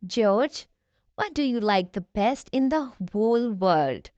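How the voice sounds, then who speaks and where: A woman voicing a kindly, jolly father pig in a children's storybook, with a deliberate parental manner. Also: on the high side, expressive, darkish tone, dry recording, lo-fi sound.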